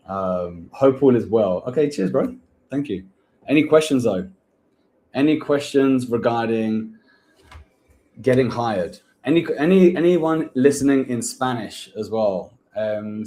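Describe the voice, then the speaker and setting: Blunt coaching man, low-key dark voice. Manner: blunt, coaching, slangy with "bro". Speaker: host, recruitment agency owner. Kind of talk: live-stream Q&A.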